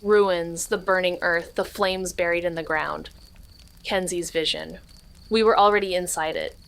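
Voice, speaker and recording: An actress playing a wry amateur sleuth who narrates a noir audio drama in a terse, measured, atmospheric way. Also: female voice, fairly low pitch, fairly even intonation, creaky, fairly bright tone. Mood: brooding, wry. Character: wry, hard-boiled female amateur sleuth telling her story.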